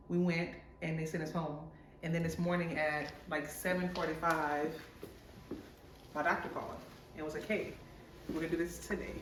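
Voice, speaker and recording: A woman's voice: deep voice, a bit bright. A woman vlogging from a hospital room as an expectant mother, chatting in a friendly, talkative way. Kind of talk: casual vlog chat. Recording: echoey room with background noise.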